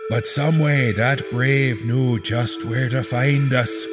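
A man voicing a crude, rough-spoken frontier man reading out his diary, in a coarse folksy drawl with swearing and slang, over eerie music. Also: medium pitch, fairly even intonation, slow pace, natural voice. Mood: agitated, boastful. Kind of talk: horror audio drama reading.

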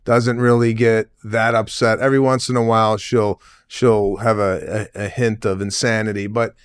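A man's voice: fairly low pitch, level tone, moderate pace, smooth. A man hosting a casual talk show, a comedian and father who rambles with jokey comparisons. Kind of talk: casual chat.

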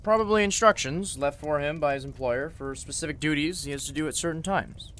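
A man playing a polite, level-headed lawyer in an old-time radio drama, speaking in a measured, slightly formal way. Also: a little high, fairly bright tone, lightly acted. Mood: puzzled.

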